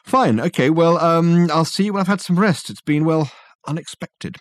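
A man's voice: a little high, normal speed, no room sound, voice pushed up, natural voice. A man voicing a weary, harried young man who hesitates with ums and uhs.

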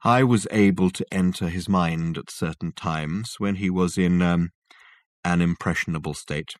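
A man voicing an ancient male alien ghost in an audiobook, grave and formal, with measured, solemn speech. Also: sits low, no room sound, studio quality. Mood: grave, confessional.

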